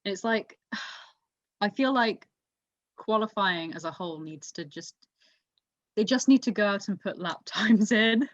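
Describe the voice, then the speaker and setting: A woman's voice: mid-range voice, unhurried, pauses often. A woman chatting casually about motorsport as a podcast co-host, giving her opinions emphatically. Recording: echoey room, dull lo-fi mic.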